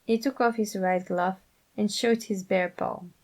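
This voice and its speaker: A woman's voice: medium pitch, fairly even intonation, unhurried, darkish tone. A woman reading scripted story narration as an amateur narrator.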